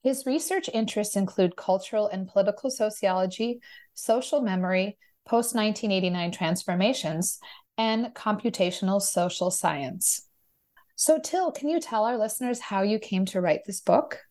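A woman's voice: mid-range voice, moderate pace, darkish tone. A woman, an oral historian, hosting an interview, reading the guest's introduction and asking questions. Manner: friendly, reads introduction then asks questions. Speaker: podcast host, oral historian.

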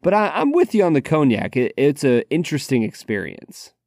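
A man's voice: fairly high pitch, wildly swooping pitch, fairly quick, darkish tone, dry recording. A man co-hosting a podcast in casual chat, with a dry, sarcastic humor and a habit of repeating words as he starts a thought. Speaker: podcast co-host.

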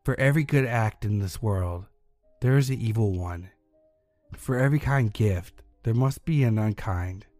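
A man voicing a thin, sinister male Christmas monster with a hissing, snake-like voice, louder than his narration. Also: fairly low pitch, normal speed, no echo, faint music behind, lightly acted. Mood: menacing.

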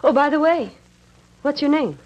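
Brisk young lady ranch boss. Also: sits high, expressive, dull audio. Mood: businesslike. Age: young adult. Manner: brisk, assertive, clipped. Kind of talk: 1940s-1950s Western radio drama acting.

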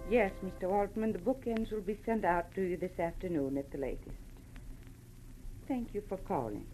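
A woman's voice: mid-range voice, normal speed, mellow, faint music behind, natural voice, poor audio. An actress playing a middle-aged, genteel shopkeeping mother with polite, careful restraint in 1940s radio drama. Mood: polite, subdued. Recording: old radio recording with faint noise.

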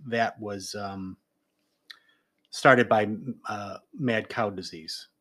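Man, co-host, halting explanations, ums and uhs, casual chat. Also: lowish voice, steady tone, fairly bright tone. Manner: hesitant, many ums and restarts, explaining.

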